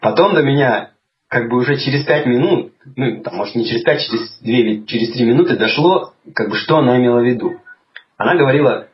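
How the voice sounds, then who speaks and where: A man talking in a relaxed conversation, thoughtful and hesitant, with many restarts as he explains things. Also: mid-range voice, quick pace. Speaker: guest. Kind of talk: casual conversation. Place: lives in England. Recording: slightly dull lo-fi audio with faint noise.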